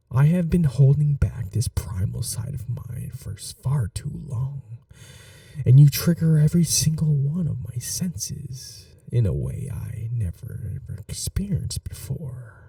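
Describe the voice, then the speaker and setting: A man voicing an ancient, cunning male vampire lord in a whispered ASMR roleplay, courtly and seductive, with a husky, half-whispered voice. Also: mid-range voice, lively intonation, warm tone, no echo, distant mic, exaggerated voice. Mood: predatory, menacing. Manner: courtly, slow and seductive, turning menacing.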